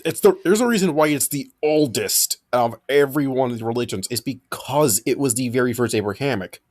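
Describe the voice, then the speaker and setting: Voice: mid-range voice, a little fast, a little mellow. A host in casual group chat, talkative and lecturing with jokes. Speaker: podcast host.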